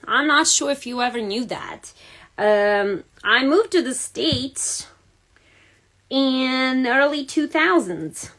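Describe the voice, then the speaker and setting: A Serbian-accented woman hosts a relaxed craft livestream, talking unhurriedly in a slightly high voice. She chats to viewers by name, filling pauses with frequent ums and uhs. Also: poor audio. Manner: chatty, greets viewers by name, many fillers. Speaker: card-making host. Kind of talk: casual crafting livestream chat. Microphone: phone or camera on a livestream.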